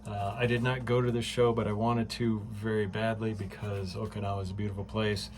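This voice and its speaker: Chatty, excitable man with low voice. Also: a little fast. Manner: chatty and excitable, many fillers, laughs often. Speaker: vlogger. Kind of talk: casual home vlog. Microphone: phone camera.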